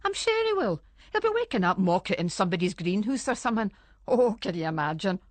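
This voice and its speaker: A woman's voice: medium pitch, big pitch swings, quick pace, dry recording. A woman playing an anxious Scottish wife in a radio monologue, talking in colloquial Scots as if to a friend. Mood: bluffing, exasperated. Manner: colloquial, conversational, addressing an unseen friend. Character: anxious, chatty Scottish wife fretting over missing husband. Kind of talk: dramatic monologue in Scots dialect, radio story reading.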